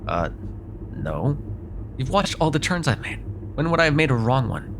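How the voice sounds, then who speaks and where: Male actor as a sardonic male vampire, wry and hesitant, mid-pitched, conversational roleplay, sweary, clear vehicle noise behind. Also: sing-song, normal speed. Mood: wry, defensive. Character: sardonic, grudgingly apologetic male vampire on a road trip. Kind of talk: immersive ASMR roleplay acting, one side of a dialogue.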